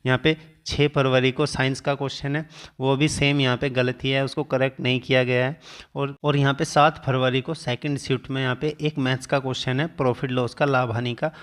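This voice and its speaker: A man's voice: medium pitch, flat delivery, brisk, a bit bright. A man speaking as a coaching teacher, explaining an exam update step by step and listing figures.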